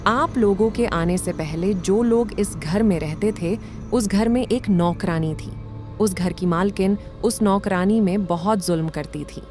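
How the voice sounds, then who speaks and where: A woman's voice: mid-range voice, a little slow, a little mellow, soft background music, lightly acted. A woman voicing a neighbour in a cartoon, recounting a grim ghost story. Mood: ominous. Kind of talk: cartoon voice acting.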